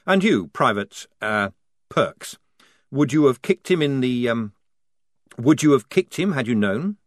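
A man's voice: mid-range voice, animated, measured pace, no room sound, clean studio sound, natural voice. A man voicing a fussy, earnest young army lieutenant who talks in a stiff, formal, speechmaking way. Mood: brusque. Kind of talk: audiobook character voice.

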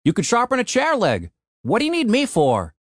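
This is a cynical, foul-mouthed boss from a storybook, acted out by a man narrator. He is blunt and sarcastic, always exasperated. His pitch swings widely, in a very dry recording. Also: huge pitch swings, a little fast, dry recording, full character voice. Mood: dismissive.

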